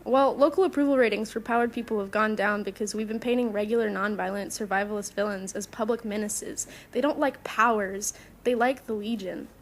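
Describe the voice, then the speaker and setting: A woman's voice: medium pitch, fairly quick, few pauses, lightly acted. A woman playing a troubled, principled young superhero in an audio drama, speaking sincerely with firm moral conviction. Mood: skeptical, reproachful.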